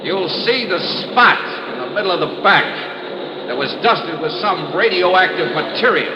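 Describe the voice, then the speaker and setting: An actor playing a shrewd, coolly persistent insurance investigator in a classic American radio crime drama, with a probing, clipped delivery. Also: male voice, high voice, expressive, measured pace. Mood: taunting, tense. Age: young adult. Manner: probing, clipped, hesitant lead-ins. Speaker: radio detective lead. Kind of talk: classic radio crime acting and first-person narration. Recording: dull, noisy, echoey old radio recording.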